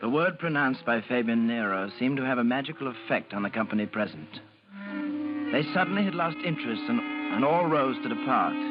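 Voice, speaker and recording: An actor playing a formal, reserved English gambler who tells his eerie tale in measured, literary vintage radio style. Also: medium pitch, natural voice. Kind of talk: vintage radio horror acting and narration. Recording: muffled old radio recording.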